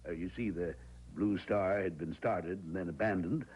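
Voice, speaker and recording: Nervous evasive small-town man, old radio drama, low-ish voice, lots of pitch swing, dull old audio. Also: normal speed, darkish tone, slightly rough. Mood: hesitant. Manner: hesitant, full of 'well' and 'uh'.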